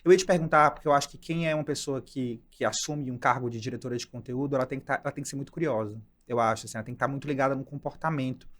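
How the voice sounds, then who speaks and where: A man's voice: medium pitch, rapid-fire, hardly any pauses, a bit bright. A man from Ceará with a Northeastern Brazilian accent, hosting an interview in a casual, chatty way and often adding "né?". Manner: chatty, thinks aloud with restarts and "né?" tags. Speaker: podcast host.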